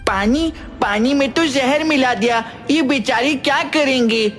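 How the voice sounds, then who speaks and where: A woman's voice: high voice, unhurried, faint music behind. A woman voicing an eager, outspoken cartoon sidekick with an exclamatory manner. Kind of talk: Hindi cartoon voice acting.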